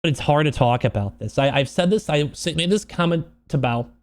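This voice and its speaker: An upset man with a voice a little higher than average, talking unscripted to his viewers. He sounds close to tears, speaks haltingly and often repeats words as he earnestly works through his thoughts. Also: expressive. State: emotional, upset, close to crying. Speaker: streamer and video creator. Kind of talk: unscripted personal vlog monologue.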